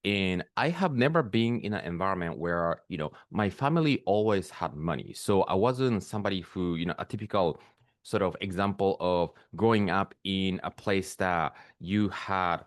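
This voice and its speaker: A man from Peru, a spiritual business coach talking slowly and reflectively to camera, pausing often with fillers. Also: low voice, expressive, no echo, studio quality. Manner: slow, reflective, with frequent pauses and fillers. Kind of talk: solo talk to camera.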